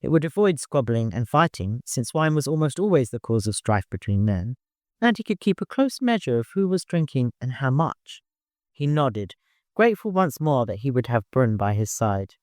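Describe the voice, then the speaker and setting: A man's voice: medium pitch, sing-song, normal speed, darkish tone, no echo, clean studio sound. A man reading a story aloud as scripted audiobook narration.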